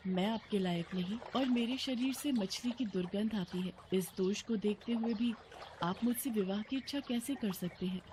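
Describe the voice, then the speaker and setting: A woman's voice: on the high side, a little mellow, slight background noise, lightly acted. A woman voicing a modest, respectful young boatman's daughter in a devotional storybook, polite and earnest. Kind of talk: dramatized devotional storybook acting. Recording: music bed behind voice.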